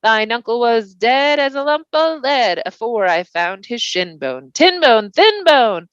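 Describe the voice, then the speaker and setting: A woman's voice: a little high, expressive, measured pace, smooth, dry recording, muffled recording, pitched above the performer's own voice. A woman voicing a greedy old male troll in rustic, taunting rhyme for a storybook. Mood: mocking.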